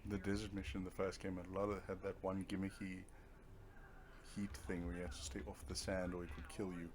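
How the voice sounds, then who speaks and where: A man's voice: low voice, flat delivery, a little fast, a little hiss or hum. A man giving casual, rambling game commentary, often talking to himself in muttered asides. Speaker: gaming video host.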